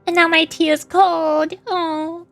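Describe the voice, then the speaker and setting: A woman with a high voice streams a game. Her commentary is casual and chatty, wandering from thought to thought, with soft music in the background. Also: flat delivery, slow. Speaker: streamer, VTuber.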